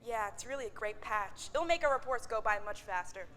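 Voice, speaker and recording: A woman's voice: on the high side, animated, fairly quick, a bit bright. A woman in a staged reading playing a suspicious, defiant factory manager with a sardonic manner. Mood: guarded. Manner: sardonic, profane.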